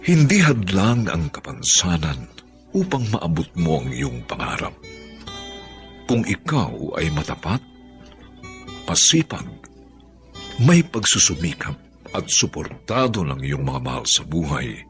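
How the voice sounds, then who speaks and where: A man narrating a scripted moral in a solemn, measured manner. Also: extremely low pitch, sing-song, faint music behind.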